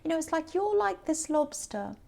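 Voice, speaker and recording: Woman tarot reader, high and gravelly, voice hoarse and sore, chatty and rambling. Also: sing-song, moderate pace, darkish tone. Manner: chatty and meandering, speaking straight to the viewer. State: hoarse, sore voice from talking a lot. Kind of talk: casual tarot reading to camera.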